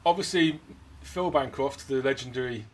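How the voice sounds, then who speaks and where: A man's voice: mid-range voice, normal speed. A man from Scotland interviewing casually, with a dry, wry sense of humour. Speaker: interviewer, jazz musician.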